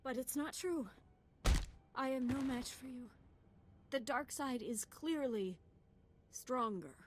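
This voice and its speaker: A young female apprentice in a space fantasy game. Her voice sits somewhat high, and she speaks with earnest, troubled intensity and open emotion. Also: slow. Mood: anguished. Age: young adult. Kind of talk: video game voice acting.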